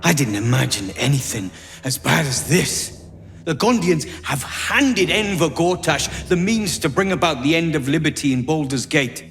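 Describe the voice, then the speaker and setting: A weary gnome resistance leader in a fantasy game. He talks in a grave, wry way, in a higher-than-usual male voice with music clearly behind him. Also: expressive, normal speed, fairly bright tone. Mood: grim, wry. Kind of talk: video game acting.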